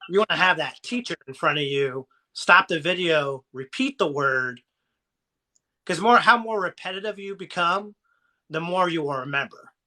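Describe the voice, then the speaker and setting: Bright-voiced encouraging Ohio man. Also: a little high, expressive, normal speed, low-quality recording. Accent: southern Ohio American. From Ohio, USA. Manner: rambling, encouraging, folksy. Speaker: motivational live-stream host. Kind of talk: casual live chat.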